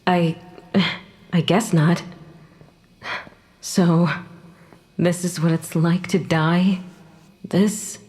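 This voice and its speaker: An actress playing the bewildered, feisty ghost of a woman in her thirties, halting in delivery, in an audio drama. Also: on the low side, fairly even intonation, warm tone. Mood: dazed. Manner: halting, breaks into Spanish when upset. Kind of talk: full-cast audio fiction acting.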